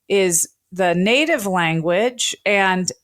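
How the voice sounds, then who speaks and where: A woman's voice: mid-range voice, lively intonation, slow. A woman, speaking as an interviewer and linguist who explains ideas in an articulate, teacherly way. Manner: articulate, didactic.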